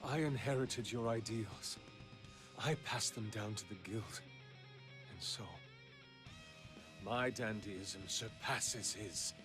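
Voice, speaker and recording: A man's voice: on the low side, slow pace, long pauses. A man voicing an earnest, intensely devoted assassin disciple in a video game, formal and solemn. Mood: earnest, determined. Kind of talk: video game character acting. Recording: studio voice under loud guitar music.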